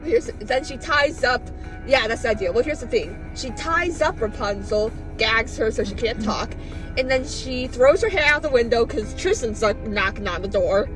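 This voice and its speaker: An excitable, playful woman hosting a casual, comic retelling of fairy tales. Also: on the high side, moderate pace, music in the background, poor audio. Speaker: host.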